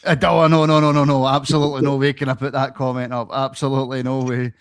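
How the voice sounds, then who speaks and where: Jokey podcast host, dry sound. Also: male voice, mid-range voice, level tone, quick pace, clear, resonant tone, no room sound. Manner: jokey, laughing, self-mocking. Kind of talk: casual podcast chat.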